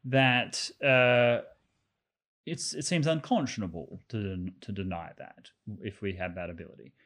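A man co-hosting a casual discussion, earnest and argumentative, often restarting his words, his voice slightly croaky. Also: fairly low pitch, steady tone, a little slow, a bit bright. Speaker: podcast co-host.

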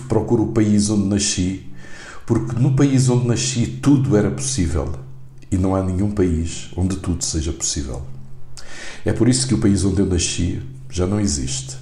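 Deep mellow male poet in a roomy space. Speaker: guest poet. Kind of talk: literary conversation and reading own poem. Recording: roomy echo.

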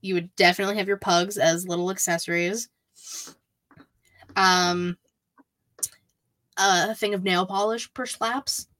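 A woman's voice: mid-range voice, steady tone, unhurried, pauses often, a bit bright, dry recording. A woman co-hosting a podcast, chatting casually as a wrestling fan. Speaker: podcast co-host.

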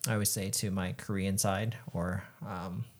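A man's voice: low-pitched, flat delivery, moderate pace. A man co-hosting a casual podcast, talking in a laid-back way with lots of fillers. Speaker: podcast co-host, photographer. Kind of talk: casual chat.

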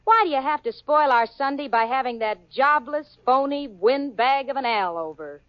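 A woman's voice: high voice, dry recording, lightly acted. An actress playing Jane, a level-headed, sarcastic young woman, in a 1940s radio sitcom. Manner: wry, sarcastic, quick with comebacks. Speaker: sitcom co-lead and narrator. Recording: muffled old radio broadcast with studio audience.

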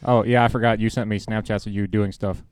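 A man's voice: on the low side, fairly even intonation, quick pace, darkish tone, clean tone, no echo, studio quality. A man hosting a podcast and steering casual banter among friends. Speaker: podcast host steering the discussion.

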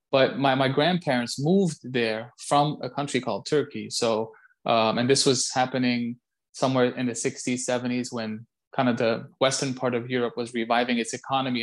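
A man from Belgium speaking as an interview guest, casual and halting, often repeating words as he goes. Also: medium pitch. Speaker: interview guest, call center site director.

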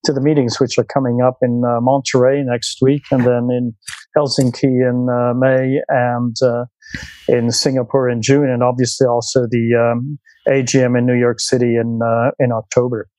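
A man's voice: medium pitch, level tone, moderate pace, a little mellow, no room sound, low-quality recording. A Swiss man in his sixties, a podcast interview guest who talks with many ums and restarts and laughs easily. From Switzerland. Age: 60s.

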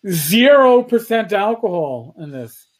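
High-pitched guy rambling on a podcast, lots of stammery restarts, swears a bunch. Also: very animated, a little slow. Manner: rambling, stammering, blunt and profane. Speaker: podcast co-host. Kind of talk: casual chat.

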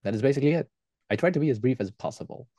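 Podcast guest: male, relaxed and fairly low voice, close dry sound, explains things earnestly. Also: very fast, no room sound, lo-fi sound. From Belgium. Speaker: podcast guest, airsoft team leader. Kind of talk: conversational interview answers.

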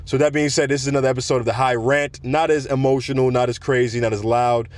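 A deep-voiced man hosting his own podcast, talking in a calm, conversational monologue. He projects his voice so his words carry, with a mid-range pitch for a man and plenty of casual asides. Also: quick pace. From South Florida. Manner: projects his voice, relaxed and conversational, fond of "you know". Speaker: podcast host. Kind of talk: casual solo podcast rant.

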